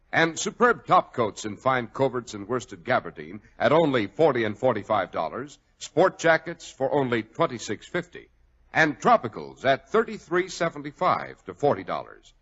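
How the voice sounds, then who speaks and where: A male radio host and sponsor announcer reading a scripted pitch in 1940s announcing style. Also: fairly bright tone, husky. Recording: dull vintage radio recording.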